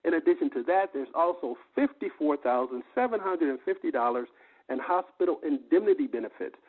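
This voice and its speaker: The high, raspy-edged male voice you'd hear dialing into an evening business opportunity call, emphatically selling point after point. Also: animated, brisk. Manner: emphatic, repetitive, rhetorical questions. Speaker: sales presenter on a conference call. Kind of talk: sales pitch explaining benefits. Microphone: telephone. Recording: muffled phone conference line, lo-fi.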